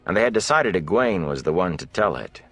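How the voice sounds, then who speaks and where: A man's voice: low-pitched, sing-song, fairly quick, fairly bright tone, no room sound, faint music behind. A man reading scripted fantasy narration as an audiobook narrator.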